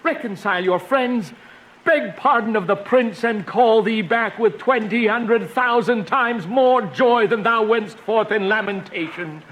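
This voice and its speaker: A man's voice: sits high, a little slow, a bit bright, low-quality recording. A man playing a stern holy friar giving counsel in a Shakespearean radio drama, with emphatic, exhorting theatrical delivery.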